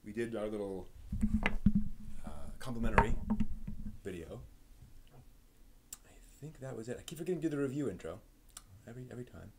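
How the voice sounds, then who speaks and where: Guy rambling on his live stream, normal pitch, kinda trails off, noticeable room noise behind him. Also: lively intonation, a little fast, pauses often. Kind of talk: casual unscripted live stream chat. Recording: noisy room background.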